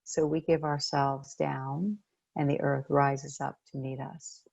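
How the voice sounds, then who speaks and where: A woman leading a guided meditation as a coach, speaking gently and unhurriedly with pauses between phrases. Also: very deep voice, level tone, gravelly, dry recording, muffled recording.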